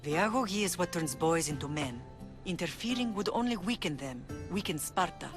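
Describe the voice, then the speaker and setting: Woman acting a measured, proud warrior mother. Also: lowish voice, animated, natural voice. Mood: stern. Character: stern, proud, loving middle-aged Spartan warrior mother. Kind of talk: cinematic video game acting. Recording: music under the voice.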